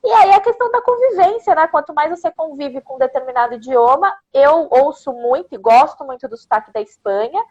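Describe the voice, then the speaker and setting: A Brazilian woman from São Paulo with a São Paulo accent, a Spanish teacher hosting a casual interview, animated and given to laughing asides. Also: high-pitched, a little fast, hardly any pauses, dull audio. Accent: São Paulo Brazilian Portuguese.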